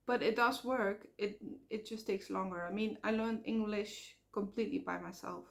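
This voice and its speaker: A woman's voice: medium pitch, fairly even intonation, normal speed, gravelly. Woman with a Dutch accent, chatty. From Netherlands. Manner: earnest, rambling with fillers and self-corrections. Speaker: vlogger. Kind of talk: casual personal vlog talk.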